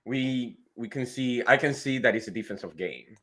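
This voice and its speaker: A man co-hosting a casual podcast, playfully arguing his point in a measured, good-humored way. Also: medium pitch, animated, a bit bright. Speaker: podcast co-host. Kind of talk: casual chat.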